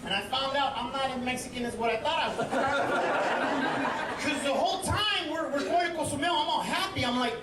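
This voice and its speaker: A man's voice: extremely high pitch, fairly quick. A man from East Los Angeles performing a stand-up set, chatty and full of restarts and crowd asides. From East Los Angeles, USA. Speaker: stand-up comedian. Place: comedy stage on a cruise ship. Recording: echoey live venue from far off. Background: loud audience laughter and noise.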